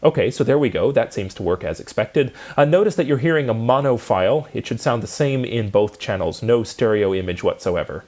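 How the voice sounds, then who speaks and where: A man speaks as a lecturer in an instructional style. His mid-pitched voice moves up and down in a lively way as he explains each step. The delivery is methodical and explanatory. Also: fairly quick, few pauses, a little hiss or hum.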